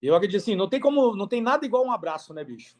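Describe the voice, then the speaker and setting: A Brazilian man with a fairly high voice speaks as a guest in a casual interview. His delivery is energetic and enthusiastic, full of slang and conversational turns. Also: very fast. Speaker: podcast guest, affiliate marketer. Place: remote video call.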